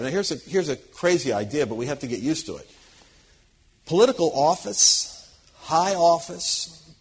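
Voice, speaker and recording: Husky-voiced man teaching a church audience. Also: fairly high pitch. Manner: earnest, emphatic and conversational, with frequent restarts.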